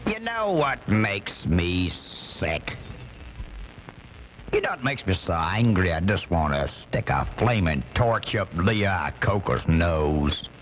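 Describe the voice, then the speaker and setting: A blustering redneck political commentator on a comedy radio bit. He rants slowly and indignantly in folksy, broken grammar, in a low voice that swoops for emphasis. The recording is a muffled off-air cassette with music behind it. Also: big pitch swings, heavily acted. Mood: exasperated, ranting. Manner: folksy, indignant, ungrammatical ranting. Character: angry redneck American right-wing ranting commentator, blustering. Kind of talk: comic radio monologue.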